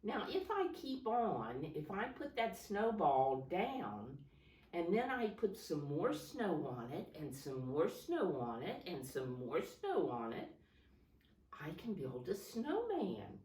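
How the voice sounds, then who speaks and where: Woman, preschool storytime teacher, animated intonation, simple instructive phrasing, echoey room, distant mic. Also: on the low side, slow pace. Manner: simple, instructive, addressing children with questions and prompts. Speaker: preschool teacher reading a picture book aloud. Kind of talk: storytime talk to young children. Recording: roomy echo, distant microphone.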